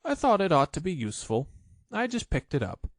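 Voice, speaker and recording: Man as a mild, higher-voiced simple little bear. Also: very animated, a little fast, no echo, studio quality. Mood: modest, surprised. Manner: mild, artless. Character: simple, puzzled, good-natured little male bear. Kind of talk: children's storybook character voice.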